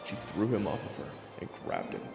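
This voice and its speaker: A man's voice: fairly low pitch, lively intonation, a little fast, gravelly, a little mellow. A man giving scripted, dramatic narration for an audio roleplay story. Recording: echoey reverb, music behind.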